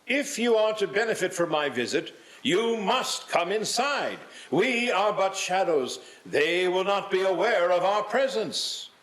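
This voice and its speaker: An actor playing a calm, knowing spirit guide with a measured, formal manner in a live radio-play reading. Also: male voice, high-pitched. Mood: knowing, instructive. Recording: roomy live hall sound.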